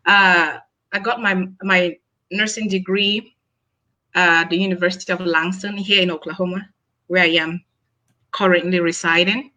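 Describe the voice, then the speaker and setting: Bright-voiced woman from Cameroon. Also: lowish voice, steady tone, moderate pace, muffled recording. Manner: earnest, with many fillers. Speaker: nurse practitioner, panelist. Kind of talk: self-introduction on a panel.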